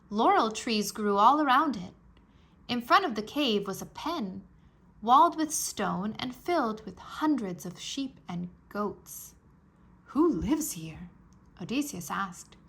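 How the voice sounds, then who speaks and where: A woman with a fairly high voice reads aloud for young listeners as a storybook narrator. Her delivery is scripted and expressive, with clear enunciation and dramatic emphasis on key words. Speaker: narrator reading a children's history book aloud.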